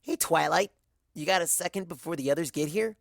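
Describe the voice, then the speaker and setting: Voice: medium pitch, brisk, vocal fry, dry recording, natural voice. Narrator doing a casual blunt girl pegasus pony, easily distracted, dry recording. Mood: casual, puzzled.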